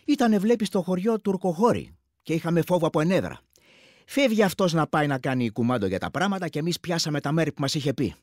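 Earthy greek village soldier telling war stories, rural accent, fairly high voice, dry close sound, radio play. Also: male voice, big pitch swings, dry recording. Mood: grim recounting. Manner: earthy, conversational, acts out other men's speech. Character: earthy village war veteran recounting brutal combat matter-of-factly. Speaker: actor. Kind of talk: radio play monologue, colloquial storytelling.

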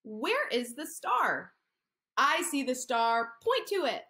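A woman in her thirties, an occupational therapist, reads a picture story aloud to young children. She talks in a fairly high, very lively sing-song voice, coaxing the kids to copy her hand gestures. She is recorded indoors on a laptop webcam. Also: slow. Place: indoor room.

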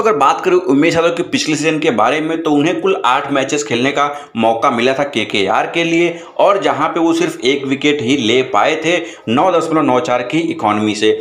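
A chatty male presenter speaks at a slightly raised pitch in a roomy, echoing space. He keeps turning to his viewers as friends and leans on the same stock phrases again and again. It sounds like a home-made voice-over for an online cricket video. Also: a bit bright. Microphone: voice-over, not recorded in pictured place.

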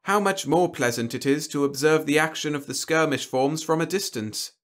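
A man's voice: on the high side, moderate pace, pitched above the performer's own voice, close to an everyday voice. A man voicing a genteel, pompous alien warlord in an audiobook, with fussy, over-polite, mannered speech. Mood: reluctant, courtly. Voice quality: scratchy. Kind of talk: audiobook character dialogue.

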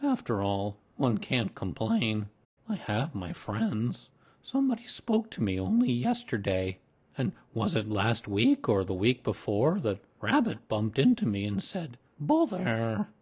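Storybook old male donkey, acted by a narrator: slow, ponderous, self-important and forever sorry for himself. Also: mid-range voice, huge pitch swings, darkish tone, slightly rough, full character voice. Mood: resigned, self-pitying.

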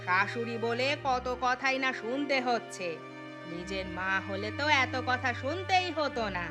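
A woman's voice: a little high, animated, a little slow, music in the background. A woman voicing a gluttonous, self-pitying elderly mother-in-law in a cartoon story, with a demanding, whining manner. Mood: aggrieved.

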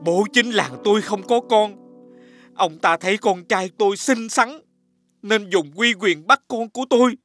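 A man playing a humble elderly village father in an animated fairy tale, speaking in a deferential, plaintive way. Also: high voice, unhurried, no echo, faint music behind. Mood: sorrowful pleading.

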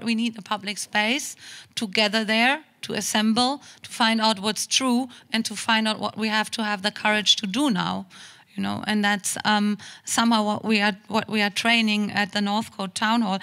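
Bright-voiced woman on a stage panel. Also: medium pitch. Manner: thoughtful, frequent restarts, laughs between points. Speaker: panel guest, theatre founder. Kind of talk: panel discussion answer. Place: stage in a large auditorium. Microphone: boom mic on stand.

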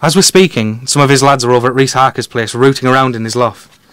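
A man's voice: mid-range voice, fairly even intonation, fast, fairly bright tone, clean tone, no room sound, lightly acted. Man playing a confident male detective, dry close sound. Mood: confident, persuasive. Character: confident, quick-witted male amateur detective explaining his deductions. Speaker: podcast guest detective. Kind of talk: conversational audio drama dialogue.

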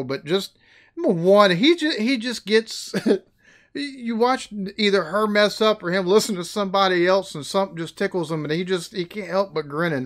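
American guy in his 30s chatting on a headset, slightly high voice, laughs easy. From United States. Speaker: reaction video host. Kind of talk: casual chat. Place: small room in front of a green screen.

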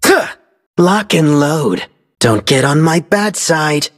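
Casting: swaggering game gunslinger, husky and menacing, slow drawling pace with big pitch swings. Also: male voice, expressive, full character voice. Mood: dry, cocky. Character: cocky, deadly male gunslinger demon hunter. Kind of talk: video game character voice lines.

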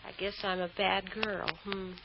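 A woman's voice: mid-range voice, flat delivery, normal speed, vocal fry, lightly acted. An actress playing a guilty, hard-drinking, bitter bad girl in an old-time radio drama, with a volatile, emotional delivery. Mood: bitter relish. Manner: emotional, swinging from nervy pleading to bitter relish. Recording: muffled, noisy old radio recording.

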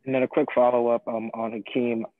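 A man's voice: mid-range voice, level tone, a little fast, no room sound. A man, a sports reporter, asking a hesitant, filler-heavy question at a press conference over a phone line. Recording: tinny dry phone line.

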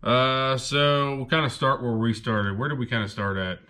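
A man's voice: mid-range voice, normal speed, pushed and bright. A young man hosting a fishing channel, chatting casually and rambling a bit as he shows off gear. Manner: laid-back, rambling with fillers. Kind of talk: casual haul video chat.

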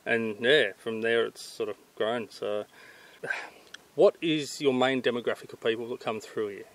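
Matey Australian man, mid-pitched. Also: measured pace. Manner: informal, matey. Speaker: channel host interviewing. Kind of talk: casual vlog interview. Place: outdoors at a garden table in bushland.

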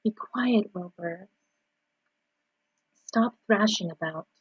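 A woman voicing a calm, dying female spider in a storybook, low, weak and gently firm. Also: slow pace, slightly rough, no echo, muffled recording.

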